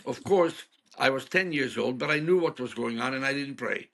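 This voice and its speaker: A man's voice: mid-range voice, normal speed, vocal fry, fairly bright tone. A man in his seventies from Hungary, speaking, telling stories frankly as a guest in an interview. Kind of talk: storytelling interview.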